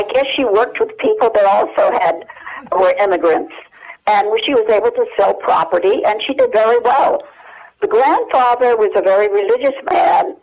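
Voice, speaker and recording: A muffled phone line carries an older woman's voice. She is a guest in an interview, reminiscing in a conversational, halting style. Her voice sits at an average pitch for a woman. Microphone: telephone. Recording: dull telephone line.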